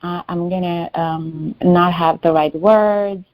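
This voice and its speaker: A woman's voice: fairly low pitch, fairly even intonation, slow pace, dry recording. Hesitant woman, Albanian native, dull audio. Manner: hesitant, searching for words, many fillers. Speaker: client in a healing session. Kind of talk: personal sharing in a one-on-one session.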